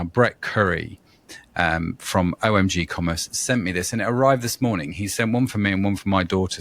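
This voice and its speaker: Guy, 50s, hosting a podcast, lively up-and-down voice, rambly and joking at himself. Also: fairly low pitch, no room sound. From England. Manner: chatty and self-deprecating, prone to tangents. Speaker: podcast host. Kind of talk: casual interview chat.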